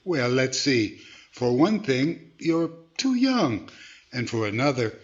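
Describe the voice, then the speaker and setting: Man as a lively, sensible straight man, dull recording. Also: medium pitch, slow. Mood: patient. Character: sensible, patient straight-man ventriloquist scolding his dummy. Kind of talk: 1940s radio comedy acting. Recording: dull band-limited sound.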